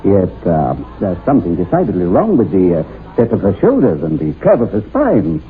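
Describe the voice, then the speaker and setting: An actor playing a perplexed physician, halting and formal, in a radio horror drama. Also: male voice, low voice, wildly swooping pitch, faint music behind. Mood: baffled, reluctant. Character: perplexed, hesitant male physician. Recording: tinny, hissy old radio drama recording.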